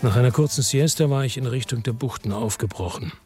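An actor playing an embittered, defiant noir hero in a German radio drama, speaking in a terse, grim, weary way. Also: male voice, mid-range voice, fast talker, over loud music, lightly acted. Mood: brooding narration. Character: embittered, defiant noir hero telling his own story. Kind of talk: German radio drama acting and first-person narration.

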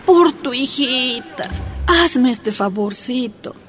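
A woman's voice: high-pitched, expressive, takes it slowly, fairly bright tone, soft background music, exaggerated voice. Brief: miner's mother in jail, radio drama, raised voice. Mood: pleading. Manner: pleading then defiant, emotional. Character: defiant jailed miner's wife, young mother. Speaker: actress.

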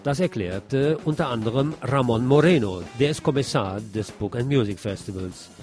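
A man's voice: medium pitch, moderate pace, darkish tone, soft background music. A man hosting a radio magazine, reading scripted news reports in a presenter's role. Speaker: radio host and presenter, also an author.

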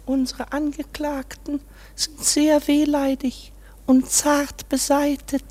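An actress playing a brisk, callous court official in a radio play, commanding and mocking. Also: female voice, high voice, flat delivery, dark tone. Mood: mocking, impatient. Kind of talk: German radio play acting.

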